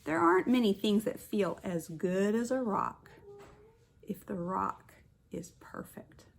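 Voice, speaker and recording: A woman kindergarten teacher reading a picture book aloud, with expressive stressed words and chatty asides to the children. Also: mid-range voice, takes it slowly, creaky, a little hiss or hum.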